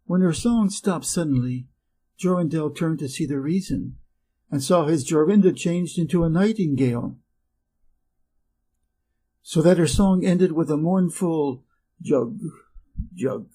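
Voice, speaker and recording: A man from Wisconsin with an American accent, reading a fairy tale aloud slowly and clearly for English learners. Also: a little high, mellow, low-quality recording. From Wisconsin, USA. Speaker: reader. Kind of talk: storybook reading.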